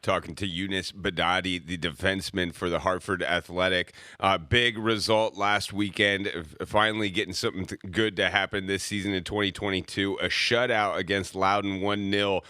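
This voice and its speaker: A man's voice: low-pitched, fairly even intonation, normal speed, hardly any pauses, bright, forceful tone, dry recording, clean studio sound. A male radio host asking loose, chatty questions on a sports talk show.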